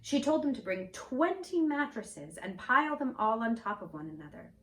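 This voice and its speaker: A woman's voice: on the high side, moderate pace. Echoey storytime narrator, woman. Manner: gentle, expressive read-aloud. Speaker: storyteller in costume. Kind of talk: reading a fairy tale aloud. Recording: roomy echo, mic at a distance.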